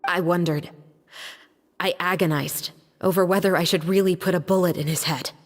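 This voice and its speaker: An actress voicing a composed, principled young female law officer in a game cutscene, speaking in an earnest, deliberate, formal way. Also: fairly low pitch, fairly even intonation, natural voice. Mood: grave, resolute. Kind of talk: dramatic video game cutscene acting. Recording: long reverb, music underneath.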